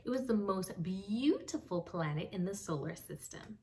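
A young woman reading a children's book aloud expressively, with dramatic pauses, addressing young listeners. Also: mid-range voice, animated, warm tone. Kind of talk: expressive read-aloud storytelling. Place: small home room with bookshelves. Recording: slightly distant mic, faint background noise.

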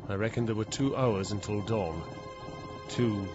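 A man's voice: fairly low pitch, unhurried, loud music behind the voice, close to an everyday voice. Actor playing a frightened man telling his ordeal, muffled recording. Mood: hushed dread. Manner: suspenseful, theatrical, swinging from hushed to frantic. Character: terrified, trapped man recounting his ordeal with a beast. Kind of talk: dramatic first-person audio drama narration. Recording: dull, lo-fi audio with loud background noise.

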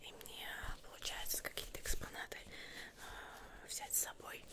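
A woman's voice: normal speed, natural voice. A young woman playing a chatty, slightly scatterbrained witch tailor in a whispered ASMR roleplay, muttering hesitantly and repeating words close to the microphone. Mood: coaxing.

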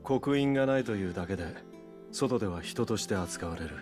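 An actor playing a brooding, terse mercenary hero in a Japanese video game, serious and restrained. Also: male voice, lively intonation, fairly quick, over music, close to an everyday voice. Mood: businesslike. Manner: low-key, serious, restrained.

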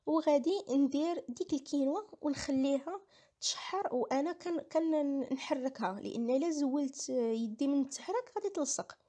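A woman's voice: high-pitched, moderate pace, warm tone. A woman with a Moroccan accent giving a casual recipe voice-over, explaining each step and repeating herself. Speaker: home cooking vlogger.

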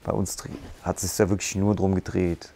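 A man in his forties, a martial arts school owner, earnestly giving a testimonial on a lapel mic in a bright room. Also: low voice, level tone, normal speed, soft background music. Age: 40s. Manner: earnest, explains at length with restarts. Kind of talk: testimonial interview. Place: bright room with curtains, standing.

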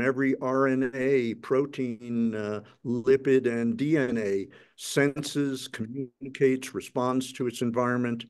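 A man's voice: medium pitch, darkish tone. Older man hosting a panel talk. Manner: measured, with frequent filler pauses. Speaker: host. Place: large hall with curtains. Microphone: video call webcam.

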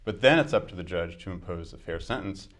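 A man's voice: low-pitched, expressive, fairly quick, fairly bright tone. A man in his forties, answering interview questions fluently and argumentatively with fillers. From United States. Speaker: judicial candidate. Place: small studio with dark curtains. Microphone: lapel mic.

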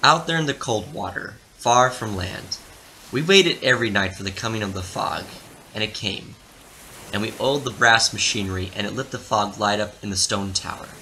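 A man's voice: fairly low pitch, bright, forceful tone, slight background noise, rough recording. A man giving a dramatic scripted narration of a story as the narrator of an audio drama.

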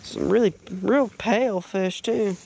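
A man's voice: high-pitched, unhurried, creaky, dark tone, slightly rough. A young American man giving casual, folksy fishing vlog commentary with repeated short phrases, outdoors on a boat. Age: young adult. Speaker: fishing vlogger. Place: outdoors on a small boat on a lake. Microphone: action camera, worn or at arm's length. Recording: boat and outdoor background noise.

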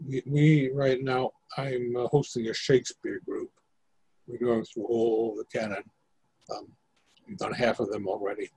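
An older man, a playwright explaining his work in halting, precise, measured phrases on a video call. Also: medium pitch, steady tone, darkish tone, slightly rough, poor audio. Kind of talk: considered explanation in discussion.

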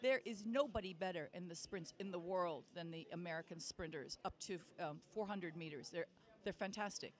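A woman's voice: fairly low pitch, normal speed. A woman in a documentary interview, musing aloud with many restarts.

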